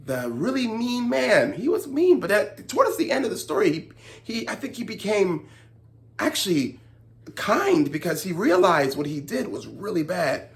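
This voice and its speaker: Animated children's storytime host, wide-swinging pitch. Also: moderate pace. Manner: animated, instructive, often checking in with "right?". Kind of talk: expressive storybook narration and chat to children.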